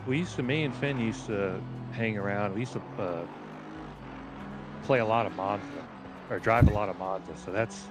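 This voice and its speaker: Male gamer guest, fairly deep, loose and joking, faint music underneath. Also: moderate pace. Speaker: sim racing driver being interviewed. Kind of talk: casual chat.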